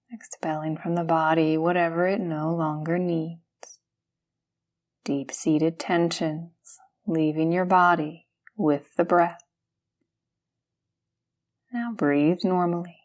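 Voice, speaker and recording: A woman guiding a yoga nidra meditation, speaking gently and soothingly in calm, unhurried instructions with pauses between phrases. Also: low-pitched. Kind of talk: guided meditation.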